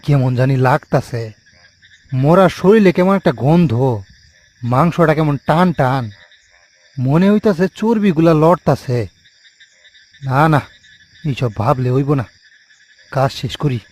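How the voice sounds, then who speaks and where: A man's voice: a little high, expressive, a little slow, long pauses, a little mellow. A man voicing a tipsy, uneasy morgue worker in a cartoon, muttering questions to himself.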